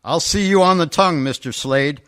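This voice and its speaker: Man acting a stern, sharp-voiced official. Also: a little high, moderate pace, clear, resonant tone, natural voice. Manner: commanding, curt. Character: stern official scolding and silencing a speaker. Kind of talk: radio comedy play.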